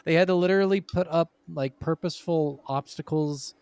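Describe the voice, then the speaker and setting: A man with a fairly high voice chats on a gaming podcast in a very dry recording. He is a casual co-host who speaks bluntly and drops swear words freely. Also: normal speed, a little mellow, no echo. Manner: blunt, profane.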